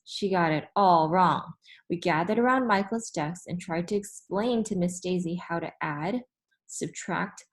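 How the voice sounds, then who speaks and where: 低音调的女性故事朗读者. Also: female voice, fairly low pitch. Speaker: read-aloud storyteller. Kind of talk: expressive children's story narration.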